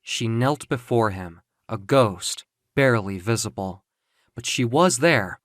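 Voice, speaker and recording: A man narrating a dark fiction story in a dramatic, theatrical and deliberate way, with music behind him. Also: medium pitch, expressive, dry recording, clean studio sound. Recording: music under the voice.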